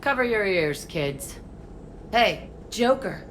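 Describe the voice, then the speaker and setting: Tough instructor, slow sardonic delivery, brash and irreverent, faint ambience behind. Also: female voice, medium pitch, lively intonation, fairly bright tone, slight background noise. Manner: swaggering, sardonic. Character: tough, brash, tattooed military teacher, woman, irreverent. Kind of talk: cinematic game dialogue.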